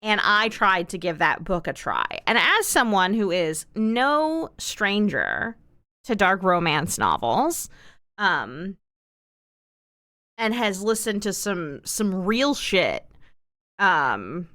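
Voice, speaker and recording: Animated woman streamer chatting casually, close dry sound. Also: medium pitch, no room sound. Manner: wry, exaggerated emphasis, drawn-out repeated words. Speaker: livestreamer. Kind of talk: casual rambling chat to stream viewers.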